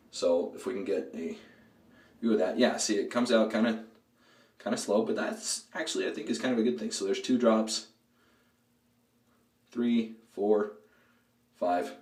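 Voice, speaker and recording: A man with a hoarse voice from a throat cold, talking casually and rambling with lots of fillers while reviewing a shave product as host of his shaving videos. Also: on the low side, reverberant, far from the mic. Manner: relaxed, rambling, many fillers.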